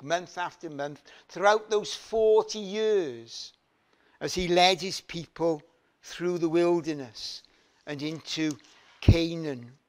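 Slow, emphatic older man preaching. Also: fairly high pitch. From Wales. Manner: slow, emphatic preaching with rising repeated phrases and hand gestures. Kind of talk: sermon. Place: church hall, standing at a lectern.

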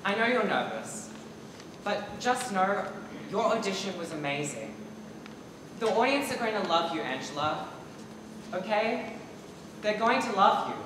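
A young man on stage playing an earnest, encouraging male drama teacher in a high school play, recorded from the audience. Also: big pitch swings, unhurried, long pauses. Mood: reassuring. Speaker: high school student actor. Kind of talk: amateur stage play acting. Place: theater stage in large auditorium. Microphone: far camera in audience. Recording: echoey auditorium, distant camera, loud room noise.

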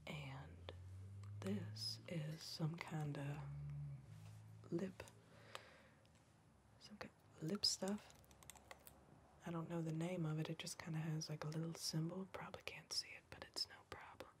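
A young woman playing a weary, defiant member of a backwoods crime family in an ASMR roleplay, in a hushed, halting breathy whisper. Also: low voice, steady tone, background noise. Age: young adult. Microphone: close ASMR microphone.

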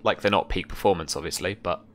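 A young man improvising tabletop game narration as game master, halting and thinking aloud. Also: deep voice, fairly bright tone, a little hiss or hum. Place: small room at home, indoors.

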